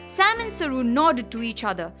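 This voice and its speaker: A woman narrating a children's bedtime story in an expressive, scripted style. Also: sits high, normal speed. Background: background music.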